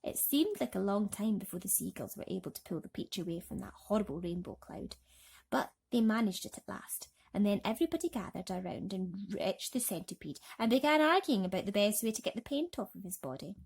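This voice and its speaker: Expressive woman reading a storybook. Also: mid-range voice, creaky, husky. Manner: dramatic, slows for suspense. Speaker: narrator reading bedtime stories.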